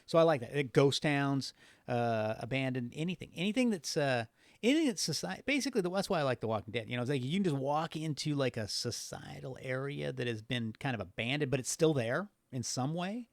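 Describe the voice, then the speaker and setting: Casting: male podcast guest, mid-pitched, loose and profane conversational storyteller, dry recording. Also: expressive, a little fast, dry recording. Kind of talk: casual, rambling conversational storytelling.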